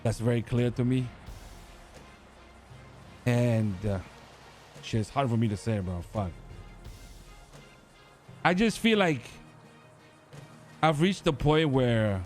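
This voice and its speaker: A man with an ordinary middle pitch talks in a slow, halting way, full of filler words. He is speaking in character in a roleplay game. Faint music runs behind him. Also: expressive, darkish tone. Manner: hesitant, halting confession with many fillers. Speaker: gang member in a roleplay server.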